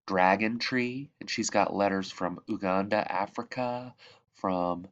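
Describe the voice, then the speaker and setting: A man reading a children's picture book aloud in measured phrases that drop softly at the ends of sentences. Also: lowish voice, dull audio. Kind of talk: read-aloud storybook narration.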